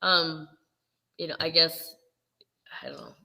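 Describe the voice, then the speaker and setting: A woman with a Minnesota accent co-hosting a casual chat, chatty and quick to laugh. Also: sits low, huge pitch swings, vocal fry, sharp tone, in a large hall, poor audio. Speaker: co-host.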